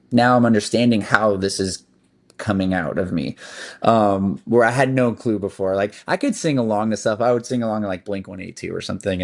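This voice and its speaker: Laid-back rambling male singer. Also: on the low side. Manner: relaxed and rambling, with frequent "like" and "um". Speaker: band's singer, podcast guest. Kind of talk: casual interview chat.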